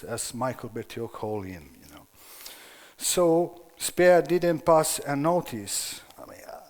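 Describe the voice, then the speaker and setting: A man in his sixties with an Italian accent, giving a conference lecture in English, hesitant and searching for words. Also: mid-range voice. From Italy. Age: 60s. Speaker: conference speaker. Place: conference hall. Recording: long hall reverb.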